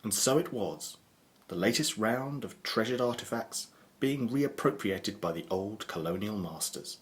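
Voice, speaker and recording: A man's voice: fairly low pitch, expressive, a little slow. A man narrating an audio drama in a scripted storytelling style.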